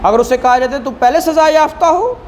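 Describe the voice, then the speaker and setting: A man's voice: very high voice, fairly quick, slight background noise. A man with a Pakistani accent teaching law, reading statutes aloud phrase by phrase and explaining them conversationally with rhetorical questions.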